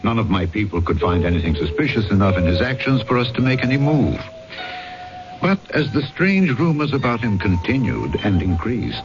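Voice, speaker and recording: An actor playing a shrewd, courteous Corsican police magistrate who narrates and converses in an old-time radio drama, urbane and inquisitive. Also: male voice, mid-range voice, lo-fi sound, close to an everyday voice. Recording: band-limited radio drama recording with music.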